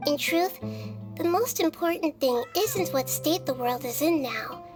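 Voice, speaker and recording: A high, childlike voice of a small goddess of wisdom. The delivery is serene and measured, formal like a philosopher, as in a video game, with music playing behind it. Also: female voice, animated. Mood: serene, wise.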